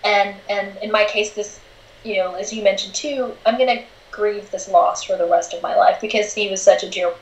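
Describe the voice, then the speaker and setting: A woman's voice: medium pitch, fairly even intonation, normal speed, reverberant, slight background noise, rough recording. A woman in her forties, a guest in a casual interview, talking candidly and laughing easily. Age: 40s.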